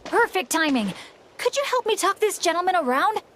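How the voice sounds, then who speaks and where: A woman's voice: very high-pitched, sing-song, fairly quick, a bit bright, slight background noise, full character voice. A woman voicing an earnest, eager teenage boy adventurer in a video game, with a boyish manner. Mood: hopeful pleading. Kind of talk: animated video game voice acting. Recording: faint background music.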